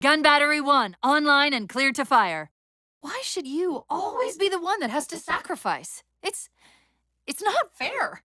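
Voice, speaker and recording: A female AI partner from a military sci-fi video game. Her voice is high and bright, recorded close and dry. She is brisk and urgent, snapping out tactical orders with a sardonic edge. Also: very animated, no room sound, full character voice. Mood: hurt, pleading. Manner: crisp tactical commands with dry wit.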